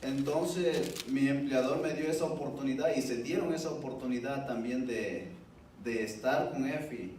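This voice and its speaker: A man's voice: mid-range voice, normal speed, darkish tone. Echoey, distant man giving testimony in Spanish. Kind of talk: personal testimony speech at a public event. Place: indoor event room, heard from far off. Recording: distant mic, very echoey room, faint noise.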